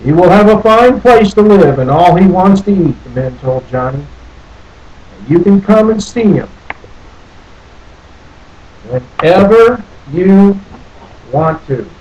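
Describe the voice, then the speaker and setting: A man's voice: sits high, animated, slow, pauses often, a little mellow, lo-fi sound. A man reading a children's story aloud expressively, theatrically lingering over phrases. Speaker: storybook reader. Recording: noticeable background noise.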